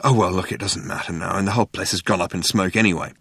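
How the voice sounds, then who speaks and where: A man voicing an alien character in a comic audiobook, posing as cool and quickly exasperated. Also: low voice, a bit bright, breathy edge, dry recording, studio quality, heavily acted. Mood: indignant. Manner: drawling when casual, demanding when annoyed.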